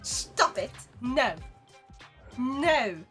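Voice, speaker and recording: Bright high-voiced Swedish woman streaming. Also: lively intonation, drawn-out words, faint music behind. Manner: chatty and playful, talking to chat while playing. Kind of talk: casual gaming livestream chat.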